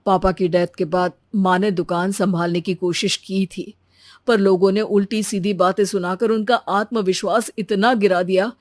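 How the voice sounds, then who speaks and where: A woman voicing a kind, supportive young husband in a cartoon, speaking gently and reasonably. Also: mid-range voice, dry recording, studio quality, natural voice.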